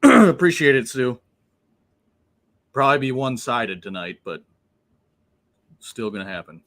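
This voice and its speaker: A man's voice: mid-range voice, sing-song, a little slow, a bit bright. A man with a mid-range voice hosts a casual livestream over a laptop connection. He is blunt and easygoing, laughs a lot and swears without a second thought. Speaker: livestream host. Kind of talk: casual chat. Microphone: laptop on a remote call.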